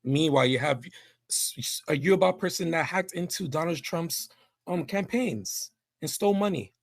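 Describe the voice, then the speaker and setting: A man's voice: fairly high pitch, moderate pace, creaky, no room sound, clean studio sound. A man arguing indignantly in an online debate, emphatic and repeating himself. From New York City. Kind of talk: heated debate.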